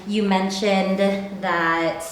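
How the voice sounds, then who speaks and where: A woman hosts a podcast in a relaxed, friendly way. She asks questions and fills in with quick encouraging replies. Her voice carries a heavy room echo. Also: medium pitch. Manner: friendly, lots of encouraging backchannels. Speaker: podcast host. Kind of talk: casual interview chat. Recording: very echoey room.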